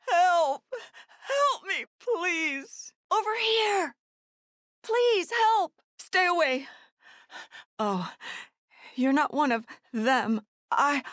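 Scared desperate mom in a horror game, really high pleading voice, slow and gaspy. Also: female voice, a bit bright, no room sound, studio quality, exaggerated voice. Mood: wary, hopeful. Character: desperate, frightened mother searching for her missing son. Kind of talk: video game survival horror voice lines.

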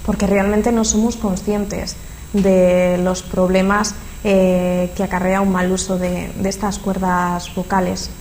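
A woman's voice: mid-range voice, level tone, takes it slowly, a little mellow, a little hiss or hum, low-quality recording. A young woman with a Spanish accent hosting a local TV interview, formal and scripted, with hesitant fillers. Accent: Spanish from Spain. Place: local TV studio.

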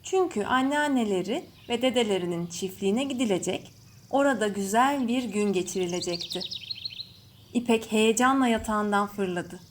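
A woman storyteller reading a scripted children's bedtime story in measured phrases. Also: medium pitch, animated. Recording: faint background noise with birdsong. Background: birds chirping.

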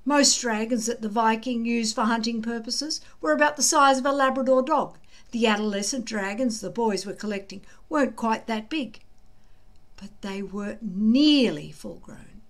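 An older woman reading a children's book aloud slowly and deliberately, with dramatic emphasis. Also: on the high side. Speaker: storybook reader. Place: small indoor room at home.

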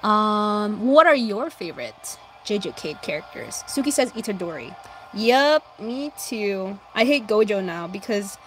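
A young woman chatting casually with her viewers while drawing, answering chat and humming along, her voice slightly hoarse. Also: a little high, a little hiss or hum. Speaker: livestreamer drawing anime fan art.

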